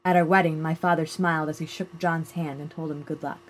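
Woman reading fiction, lowish voice, noisy recording. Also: steady tone, fairly quick. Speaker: short story reader. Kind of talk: scripted literary narration, first-person short story read aloud. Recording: clear background noise.

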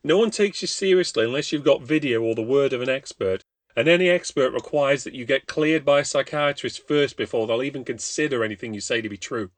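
A man's voice: fairly high pitch, moderate pace, hardly any pauses, dry recording, pitched above the performer's own voice, natural voice. A man voicing a panicked young man haunted by a ghost, breathless and pleading. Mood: frantic.

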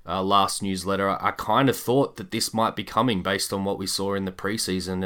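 A man's voice: on the low side, bright, forceful tone. A man co-hosting a casual sports podcast in a conversational way, thinking aloud as he goes. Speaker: podcast co-host. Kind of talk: casual basketball podcast chat.

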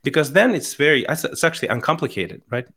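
A man's voice: on the high side, expressive, fast, pushed and bright, slightly rough. A middle-aged man from Chile with a Chilean accent, talking earnestly as a podcast guest and often restarting his sentences. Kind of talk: casual conversation.

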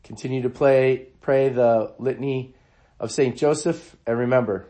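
A hoarse man, under the weather, hosting a devotional podcast and reading quotes in an earnest, measured way. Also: medium pitch, fairly even intonation, mellow. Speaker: podcast host.

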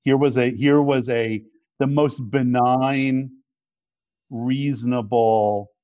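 A man speaking as a guest in a casual political discussion, measured and hesitant, restarting his phrases. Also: mid-range voice, steady tone, dark tone, no echo. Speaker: podcast guest, media executive.

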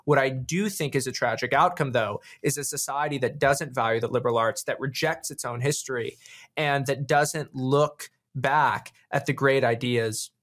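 A man's voice: mid-range voice, moderate pace, a bit bright. A man speaking as an interview guest in a reflective, storytelling style, earnest and emphatic, drawing out key words. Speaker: podcast guest, writer and founder.

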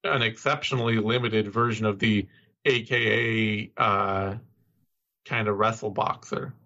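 A man's voice: lowish voice, fairly even intonation, a little slow, sharp tone. A man co-hosting a podcast, talking in casual analytical chat, easygoing and self-deprecating. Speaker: podcast co-host. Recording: dull lo-fi audio.

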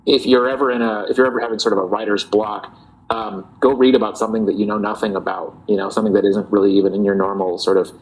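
A man, a guest in a casual interview, talking in a relaxed, reflective way with many fillers and restarts. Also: on the low side, quick pace, rough recording. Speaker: guest, parody account writer.

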